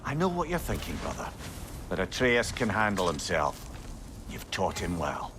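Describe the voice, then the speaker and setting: A man's voice: low voice, wildly swooping pitch, moderate pace, background noise. An actor playing a wry, chatty male know-it-all companion with a Scottish accent in a video game. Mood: wry, reassuring. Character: severed talking head, witty know-it-all male companion. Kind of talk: video game character acting.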